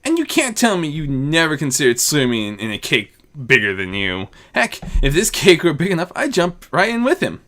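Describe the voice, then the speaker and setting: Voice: mid-range voice, huge pitch swings, normal speed, hardly any pauses, fairly bright tone. A reader voicing a playful, bubbly female goddess who gushes and teases. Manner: gushing, teasing.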